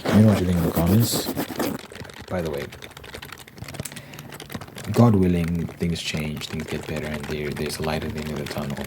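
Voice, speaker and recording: A man's voice: a bass voice, fairly even intonation, moderate pace, pauses often. A man hosting an ASMR video, rambling casually in an informal monologue and repeating phrases for emphasis. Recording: clear typing and crinkling noise.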